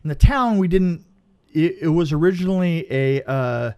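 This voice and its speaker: Halting, higher-pitched man telling stories. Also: lively intonation, warm tone, clear, resonant tone, muffled recording. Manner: halting, stammering, repeats words. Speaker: film crew member, podcast guest. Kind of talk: casual anecdote telling.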